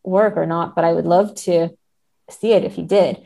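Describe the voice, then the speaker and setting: A woman with a relaxed, dark-toned voice on the lower side talks as a guest on a book podcast. Her delivery is conversational and thoughtful, often tentative in how she phrases opinions. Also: moderate pace, gravelly. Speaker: podcast guest, literary translator. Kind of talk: casual book discussion.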